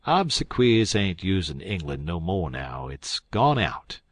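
A man's voice: sits low, big pitch swings, measured pace, dry recording, studio quality, heavily acted. A man voicing a smug, long-winded old con man in an audiobook, with pompous, falsely learned talk and folksy grammar. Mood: pompous lecturing.

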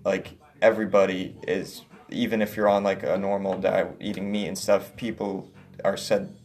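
A young man as a guest in a casual chat, soft-voiced, rambling with many "like"s and restarts. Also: deep voice, little pitch movement, normal speed. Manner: rambling, heavy use of "like", restarts.

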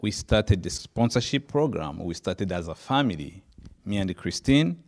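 A man from Rwanda shares a testimony before a church congregation. His low voice moves slowly and emphatically, with pauses between phrases. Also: animated, darkish tone. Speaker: missionary guest.

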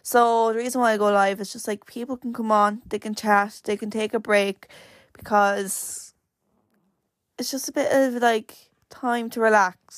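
A young woman talks through her solo podcast in a loose, rambling way, leaning on "like" again and again. Her mid-pitched voice is slightly croaky, with the odd crack, and the sound is close and dry. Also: no echo. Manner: chatty and rambling, full of "like" fillers. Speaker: podcast host. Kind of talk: casual solo podcast chat.

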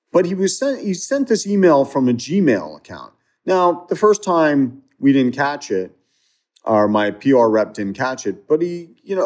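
A man's voice: medium pitch, darkish tone. A man speaking as an interview guest, retelling a story in a casual, conversational way with frequent fillers and restarts.

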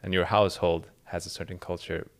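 A man with a fairly low voice co-hosts a podcast in casual chat. He reflects aloud in a searching, thoughtful way and keeps restarting his sentences as he works through an idea. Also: level tone, a little fast. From second-generation immigrant. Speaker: podcast co-host.

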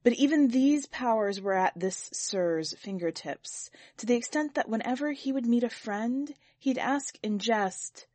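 A woman reading scripted narration for a literary fiction podcast in a measured, deliberate and expressive way. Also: on the high side, dry recording. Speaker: podcast host and story reader.

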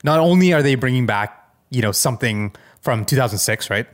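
A man co-hosting a podcast in casual chat, talking in halting phrases and often checking in with his listener. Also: mid-range voice, expressive. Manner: halting, conversational, frequent check-in questions. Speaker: podcast co-host.

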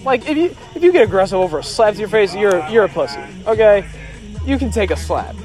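A young man hosting a casual podcast, loud and joking, talking over his friends. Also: high voice, expressive, quick pace, soft background music, rough recording. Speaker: podcast host. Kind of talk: casual chat.

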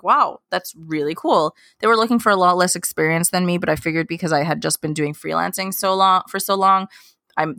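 A woman's voice: low-pitched, gravelly, fairly bright tone, dry recording, studio quality. A woman in her late twenties, talking as a guest in a casual interview, chatty and rambling with lots of fillers and restarts. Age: late 20s. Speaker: guest, K-pop journalist.

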